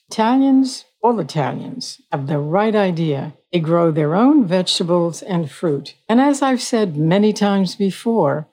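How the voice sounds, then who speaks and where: A woman's voice: sits low, dark tone, slightly rough. An older woman hosting her own show, talking in a chatty, folksy way and repeating her points. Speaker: host. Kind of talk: solo podcast talk.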